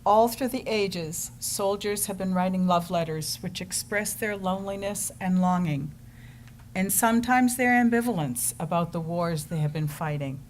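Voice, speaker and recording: A woman's voice: mid-range voice, moderate pace. A woman giving solemn scripted narration for a staged reading. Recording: live stage recording with background noise.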